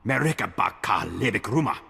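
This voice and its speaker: A gravelly growling alien gangster. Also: male voice, lowish voice, animated, fairly quick, sharp tone, full character voice. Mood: gruff. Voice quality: gravelly, rough. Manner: swooping, growled alien speech. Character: gruff alien gangster speaking an alien tongue. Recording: game audio with long reverb.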